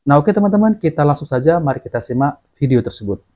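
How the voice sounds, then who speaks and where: A man's voice: a little high, animated, quick pace, dark tone. A man hosting a religious commentary, addressing his viewers directly and explaining in a didactic way. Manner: didactic, repeats and explains clips. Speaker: channel host and commentator.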